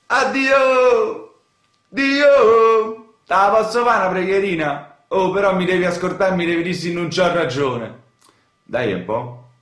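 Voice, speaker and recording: In a roomy, echoing radio play, a cocky young man from the Roman streets. His voice sits fairly high and swings widely, from slangy muttering to theatrical chanting and mock sermons. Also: fairly bright tone, full character voice. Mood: mock-pious.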